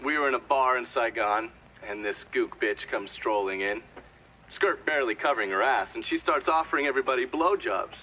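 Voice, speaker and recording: An actor playing a cocky, foul-mouthed American war veteran, telling things in a sardonic, swaggering way. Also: male voice, medium pitch, brisk, sharp tone, lo-fi sound, a little hiss or hum, natural voice. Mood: sardonic, blunt.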